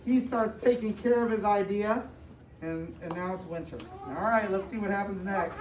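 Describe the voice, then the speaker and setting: An American woman reads a picture book aloud to young children, pausing to explain and ask questions, with emphatic stretched words. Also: mid-range voice, a little mellow. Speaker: school superintendent reading aloud to children. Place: indoor room with children present. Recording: distant, echoey, muffled room recording with noise.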